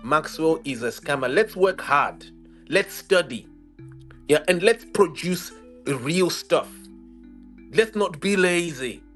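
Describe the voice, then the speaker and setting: A Zimbabwean man with a slightly raised pitch argues his case on a livestream. He is emphatic and combative, repeating phrases to drive them home. Soft guitar music plays behind him. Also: lively intonation, slow pace. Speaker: investigative journalist, livestream guest. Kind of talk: argumentative livestream interview.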